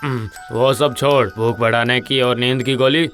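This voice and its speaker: A man playing a practical doctor friend in an animated horror story, giving familiar, matter-of-fact advice. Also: medium pitch, measured pace, soft background music, close to an everyday voice. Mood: concerned advice.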